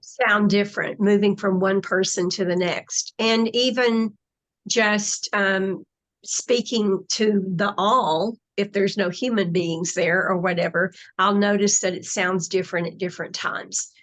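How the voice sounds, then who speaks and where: A woman guest in a spiritual interview, explaining slowly and deliberately over a remote call. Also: mid-range voice, fairly even intonation, fairly bright tone, low-quality recording.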